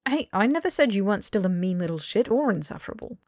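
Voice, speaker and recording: A woman's voice: medium pitch, lively intonation, fast talker, darkish tone, no room sound, clean studio sound, close to an everyday voice. A woman voicing an earnest, blunt young British man in audiobook dialogue, emphatic and pleading. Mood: exasperated, heated. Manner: emphatic, sweary, rambling tirades.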